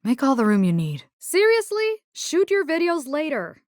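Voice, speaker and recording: An actress voicing a tough, caring young military rescue engineer in a video game, salty, wry and resolute. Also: female voice, sits high, wildly swooping pitch, normal speed, darkish tone, dry recording, studio quality. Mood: wry, bantering. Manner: salty, plain-spoken, wry. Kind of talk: scripted video game character voice lines.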